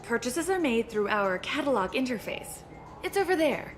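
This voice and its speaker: Polite shop clerk, game character, fairly high voice, animated intonation, formal, earnest, background noise. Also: female voice, moderate pace, soft background music. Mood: formal, courteous. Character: courteous, earnest female medical outlet clerk. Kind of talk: video game dialogue.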